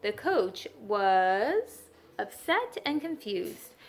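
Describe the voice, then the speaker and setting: A woman's voice: mid-range voice, big pitch swings, extremely slow. A woman teacher reading a children's story aloud and adding simple explanatory asides.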